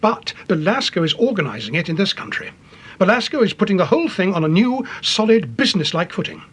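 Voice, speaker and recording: An actor playing an earnest, authoritative British police chief in a radio detective drama, grave and deliberate in manner. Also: male voice, sits high, sing-song, a bit bright, breathy edge, full character voice. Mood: explanatory, earnest.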